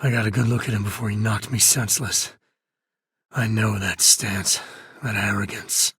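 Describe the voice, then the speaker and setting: An actor voicing a rugged, loyal space ranger and father in a video game, husky, with a wry, folksy, laid-back way of talking. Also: fairly low pitch, steady tone, moderate pace, a bit bright, no echo. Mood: easygoing, wry. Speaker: video game voice actor playing a companion character. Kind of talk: game character voice lines.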